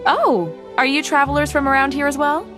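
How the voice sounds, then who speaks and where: A woman as a high, friendly adventurer cook. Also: brisk, over music, natural voice. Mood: welcoming. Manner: welcoming, encouraging. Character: friendly, upbeat young female survival expert who cooks. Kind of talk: video game voice acting.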